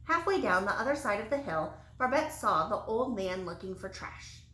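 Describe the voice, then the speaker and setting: Lady reading kids a story, really expressive, voice up and down a lot, bit echoey. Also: mid-range voice, normal speed. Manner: animated, dramatic emphasis, acts out dialogue. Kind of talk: expressive children's storybook read-aloud. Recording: roomy, echoey sound.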